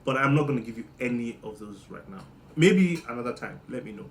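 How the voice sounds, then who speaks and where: A man's voice: mid-range voice, lively intonation, fairly quick, slight background noise. Playful Nigerian-accented man. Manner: playful, teasing, jumps between loud and muttered. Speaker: monologue host. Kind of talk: comedic monologue.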